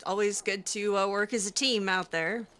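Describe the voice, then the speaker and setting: A woman's voice: medium pitch, steady tone, normal speed, fairly bright tone. A woman doing casual, improvised roleplay commentary over a video game, chatty and playful with a hesitant way of talking. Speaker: gamer roleplaying a character.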